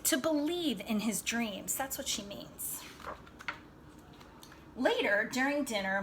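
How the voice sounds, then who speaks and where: A woman with a fairly high voice reads to children at a slow pace. She is teacherly and emphatic, mixing expressive narration with chatty questions. A little noise sits behind her voice. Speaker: storybook read-aloud reader. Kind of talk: expressive children's read-aloud with chatty asides to young listeners.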